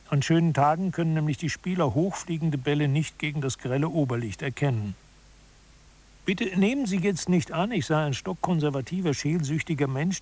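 A disillusioned male author, played by an actor, narrates a scripted audio drama like a wry essayist. His voice is slightly high for a man, with a husky grain. He phrases things articulately, as an observer of human failings. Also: a little mellow, lightly acted.

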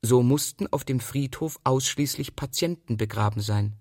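A man reading a literary text aloud as scripted narration, in a measured and precise manner. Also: medium pitch, a little mellow, no echo, clean studio sound. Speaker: reader of literary prose.

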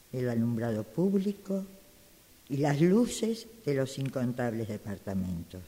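A man's voice: mid-range voice, dark tone. A man reading a scripted story dramatically as a radio drama exercise. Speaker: radio drama workshop participant. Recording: long reverberant hall.

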